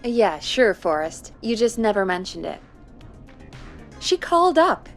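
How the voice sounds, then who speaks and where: A woman's voice: medium pitch, big pitch swings, a little slow, faint music behind. A woman playing a dry, bossy, sardonic radio producer in a video game, with a teasing delivery. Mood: sardonic, impatient.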